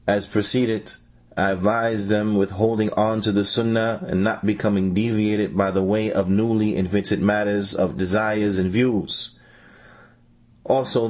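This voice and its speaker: A man reading out a translated religious lecture in a deliberate, phrase-by-phrase manner, restating points as he goes. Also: mid-range voice, darkish tone. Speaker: translator reading a scholar's answers. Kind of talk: measured religious lecture translation, read aloud.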